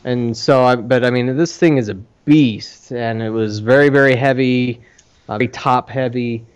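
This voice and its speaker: A man hosting a podcast, chatting casually in a rambling, halting way about his own business. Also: medium pitch, steady tone, clear, resonant tone. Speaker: podcast host and arcade owner.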